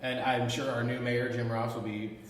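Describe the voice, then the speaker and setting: A man's voice: level tone, normal speed. Male American interview host, casual and agreeable, strong reverberant echo, off-mic. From Arlington, Texas, United States. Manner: agreeable, frequently affirming. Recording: very echoey, distant mic, noisy rumble.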